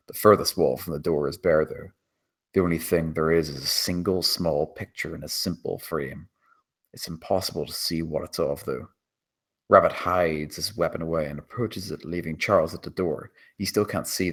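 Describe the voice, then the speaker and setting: A man's voice: low voice, breathy edge. A man reading scripted screenplay action as the narrator.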